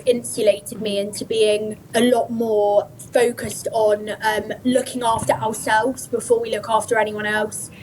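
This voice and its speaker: A woman answering interview questions in an earnest, measured, somewhat hesitant way. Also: fairly high pitch, little pitch movement, darkish tone, a little hiss or hum, rough recording.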